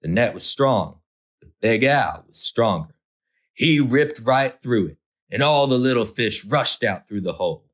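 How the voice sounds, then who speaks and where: A man's voice: mid-range voice, animated, unhurried. A man narrating a children's picture book as a storytime host, expressively, drawing out key words for effect.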